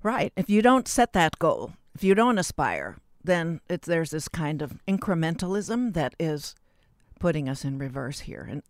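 An interviewer hosting a public affairs conversation, speaking in a deliberate, wordy way and often rephrasing mid-sentence. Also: mid-range voice, very animated, no echo, clean studio sound. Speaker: interviewer, show host.

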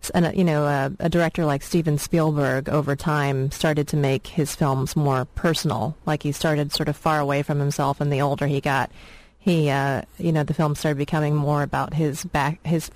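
A woman's voice: deep voice, level tone, normal speed, a little mellow, no echo, poor audio. A woman in her thirties, originally from Vietnam, a film director speaking as a radio guest in a reflective, rambling way with many fillers. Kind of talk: radio interview, personal storytelling.

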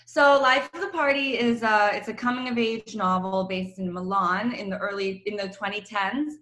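A woman's voice: mid-range voice, moderate pace. Chatty woman author on an echoey line. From Croatia. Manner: chatty, laughs often, animated storytelling. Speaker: novelist guest. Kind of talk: casual phone interview. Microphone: phone call.

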